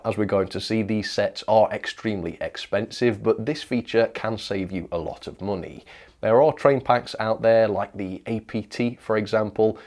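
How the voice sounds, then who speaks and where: A man's voice: on the low side, a little mellow. A man presenting hobby news in an informal review style, chatty, enthusiastic and ready with his opinions. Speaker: presenter of a model railway news show. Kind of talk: informal product news review to camera or as voice-over.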